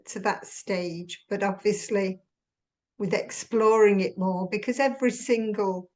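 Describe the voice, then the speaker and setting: Woman, medium pitch, meditation student sharing her thoughts, measured little phrases. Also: a little mellow. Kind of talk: reflective sharing in a group session.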